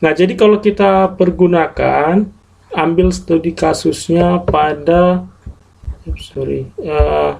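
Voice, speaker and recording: A man teaching a translation class online, explaining grammar slowly and step by step with English example sentences. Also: a little high, fairly even intonation, gravelly, a little mellow, slight background noise.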